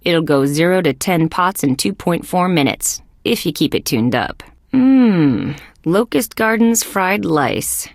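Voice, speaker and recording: A woman's voice: lowish voice, lively intonation, measured pace, no echo. A woman voicing a wry, sardonic female insect police detective in a video game, with dry, sarcastic wisecracking delivery. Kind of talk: scripted adventure game voice acting.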